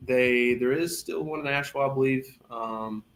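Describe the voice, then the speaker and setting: A man's voice: mid-range voice, moderate pace, a little hiss or hum. A man giving casual, easygoing and agreeable answers as a guest on a talk show. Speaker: scuba shop guest.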